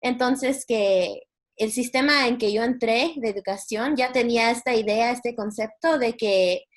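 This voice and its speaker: A young woman student from Guatemala talks casually about her own experience. Her voice is a little high, and she feels her way hesitantly through her phrases. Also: a little slow. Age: young adult. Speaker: university student. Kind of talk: casual personal account in a panel.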